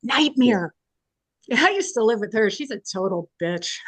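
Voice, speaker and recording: A woman's voice: on the high side, lively intonation, measured pace. A woman reading the part of a quirky, blunt young woman in a sitcom table read. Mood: blunt, teasing. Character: quirky, blunt young woman who busks folk songs.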